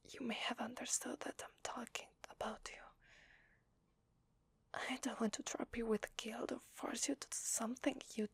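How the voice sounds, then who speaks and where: A woman with an Italian accent voicing a weary, desperate vampire in a hesitant, breathy ASMR roleplay whisper. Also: a little high, no echo, full character voice. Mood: pleading, apologetic. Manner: hesitant, slow, confiding. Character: weary, desperate, apologetic young-sounding female vampire.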